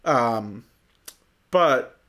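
A man's voice: mid-range voice, animated, extremely slow, bright, forceful tone. Rambling male podcast co-host. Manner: rambling, filler-heavy, frequent "you know". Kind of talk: casual chat.

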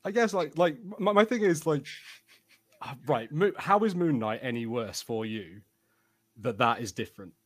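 A man's voice: medium pitch, moderate pace. A man casually debating on a podcast, informal and questioning in how he pushes back. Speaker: podcast host.

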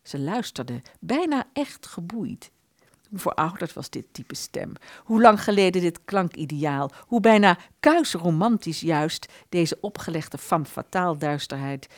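A woman narrates a literary radio play. Her voice is on the low side and very varied in melody, an expressive storyteller. The recording is close and dry. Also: dry recording.

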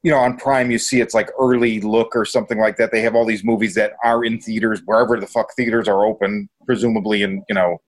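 A man's voice: fairly low pitch, little pitch movement, quick pace, hardly any pauses. A man hosting a podcast, chatting casually and informally with his co-hosts. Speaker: podcast host. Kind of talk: casual chat.

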